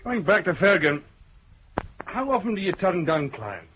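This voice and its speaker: A man's voice: high-pitched, big pitch swings, moderate pace. A man acting a dry, dogged police chief inspector with terse, probing questions in a radio crime drama. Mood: probing, firm. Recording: dull, noisy old radio broadcast recording.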